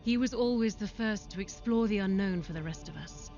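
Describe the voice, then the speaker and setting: Woman playing solemn female soldier, reflective film narration, clear music underneath, slightly dull sound. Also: mid-range voice, brisk, mellow, lightly acted. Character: solemn female supersoldier recalling her comrades. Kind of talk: scripted character narration in animated film.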